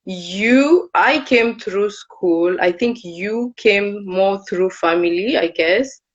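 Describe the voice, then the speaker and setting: A young woman from Kenya, hosting a casual live video chat, unhurried and hesitant with many fillers. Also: medium pitch. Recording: dull lo-fi livestream audio.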